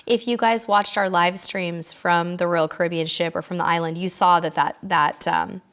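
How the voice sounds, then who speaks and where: A middle-aged woman hosting a casual live stream, chatty with her viewers, her voice hoarse and worn from losing it, often clearing her throat. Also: fairly low pitch, fairly even intonation, normal speed. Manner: chatty, warm toward viewers, reads out comments. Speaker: cruise show host.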